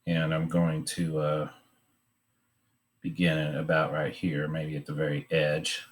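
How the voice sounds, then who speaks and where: A man giving an informal drawing demonstration, talking in a hesitant, halting way as he thinks aloud. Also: rumbling low, monotone. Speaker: art demonstrator.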